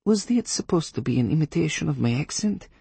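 A man's voice: a little high, lively intonation, brisk, gravelly, mellow, breathy edge, no echo, pitched above the performer's own voice, heavily acted. Man voicing haughty Polish witch. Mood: indignant. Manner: dignified, sarcastic, coolly diplomatic. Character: haughty Polish witch woman, sardonic leader.

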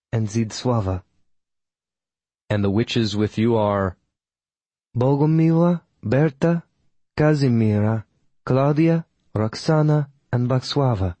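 A man's voice: medium pitch, warm tone, no room sound, lightly acted. A man voicing a proud female witch who leads a coven, speaking with a Polish accent in a formal, clipped, disdainful way. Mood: haughty.

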